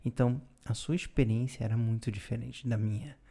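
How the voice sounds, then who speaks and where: A man, co-hosting a podcast and explaining things in a calm, casual way, often ending with a questioning tag. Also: medium pitch, fairly quick, vocal fry, slightly rough. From Brazil. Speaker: podcast co-host. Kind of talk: casual conversational storytelling.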